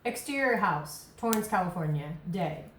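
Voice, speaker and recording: A woman's voice: on the low side, animated, normal speed, a bit bright, slight background noise. A woman narrator reading screenplay scene headings and action lines at a table read. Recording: echoey room recording.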